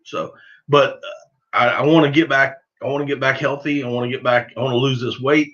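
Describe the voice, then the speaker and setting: A middle-aged man from the American South talks with a Southern accent and a bright, forceful voice at an ordinary male pitch. He chats loosely with viewers as a livestream host, folksy and self-deprecating. The audio is somewhat dull and lo-fi. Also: fairly even intonation, fairly quick. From southern United States. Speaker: livestream host of a duck hunting channel. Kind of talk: casual livestream chat, answering viewers.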